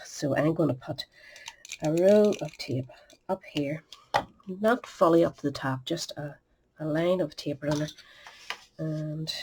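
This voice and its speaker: A woman's voice: low-pitched, dark tone, slight background noise. A sniffly middle-aged woman, chatting through a step-by-step card-making demonstration and muttering asides under her breath. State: sniffly with a cold. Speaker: craft tutorial host.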